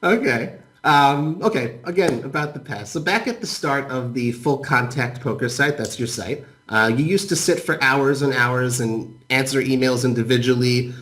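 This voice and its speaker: A man's voice: medium pitch, moderate pace, hardly any pauses, fairly bright tone. A man interviewing a guest, asking questions in a hesitant way with many fillers.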